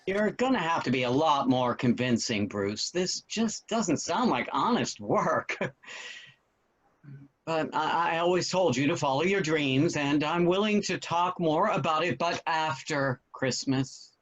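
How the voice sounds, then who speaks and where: A man playing a cautious but kindly father of a grown son in a play reading, with hesitant, expressive delivery. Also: fairly high pitch, a little slow. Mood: reluctant. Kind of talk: virtual table read of a stage comedy-drama. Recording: dull, lo-fi call audio.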